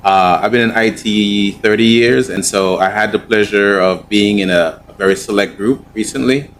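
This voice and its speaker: A man's voice: fairly low pitch, normal speed, a little hiss or hum. A man moderating a virtual panel, affirming and conversational on a video call.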